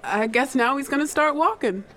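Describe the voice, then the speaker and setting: A woman playing a dutiful young assistant, polite and cautious, gently pressing her employer with dry deference. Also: high voice, normal speed, some chatter behind. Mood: dutiful worried.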